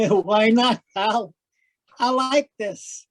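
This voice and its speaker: Villain: menacing gunman for noir audio drama, higher-pitched, unhurried, taunting gangster patter. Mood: gloating. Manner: slow, taunting gangster slang, calls people pal. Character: bald male gunman, menacing, taunting ex-convict killer. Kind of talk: noir radio-play acting.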